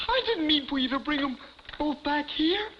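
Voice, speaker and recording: A man's voice: very high voice, animated, unhurried. An actor playing a hapless, earnest young male inventor, flustered, in 1950s television comedy style. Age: young adult. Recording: muffled, noisy 1950s television soundtrack.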